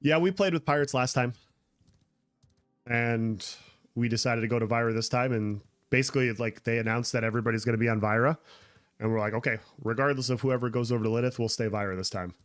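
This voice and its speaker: A man's voice: medium pitch, fast talker, a bit bright, dry recording. A man chatting casually on a gameplay livestream, answering viewer comments as he plays. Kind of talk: casual gameplay stream chat.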